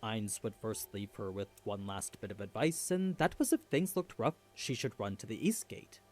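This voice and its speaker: A man's voice: lowish voice, normal speed, a little mellow. A man reading scripted explainer narration for a video.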